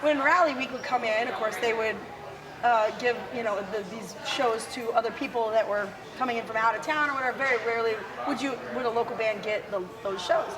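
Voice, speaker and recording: A woman from South Dakota with a gravelly, raspy voice, chatting casually and laughing now and then as an interview guest. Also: a little high, fairly quick, crowd noise, poor audio. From South Dakota, USA. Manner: chatty and self-deprecating, laughs often. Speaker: musician guest. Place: outdoors at a windy motorcycle expo.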